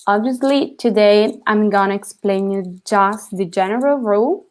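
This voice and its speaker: A woman's voice: mid-range voice, normal speed. A young woman with an Italian accent, a native Italian speaker, teaching a language lesson and checking in with "okay?" after each point. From Italy.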